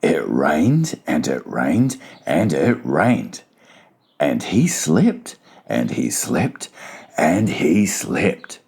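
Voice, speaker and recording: A man narrates a children's story in a low, gravelly voice. His pitch moves in lively swings through the dramatized audiobook reading.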